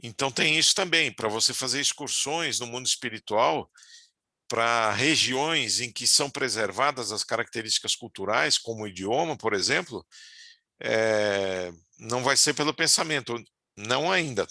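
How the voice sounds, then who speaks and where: A middle-aged man talks through spiritual ideas in an easygoing, conversational way, often ending his sentences with little tag questions. His voice is very bright and forward. The sound is close and dry, with no room around it. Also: mid-range voice, lively intonation, moderate pace, no room sound. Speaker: spiritual teacher. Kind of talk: casual spiritual talk.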